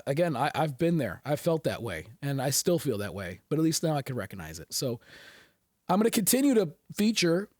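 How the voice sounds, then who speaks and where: A slightly hoarse man hosting a show, talking candidly in a rambling casual monologue full of fillers and restarts. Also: a little high, a little mellow, no echo, clean studio sound. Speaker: host.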